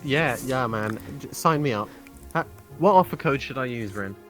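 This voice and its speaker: A man's voice: mid-range voice, animated, normal speed, fairly bright tone, soft background music. A man bantering casually and jokingly as a guest on a review video.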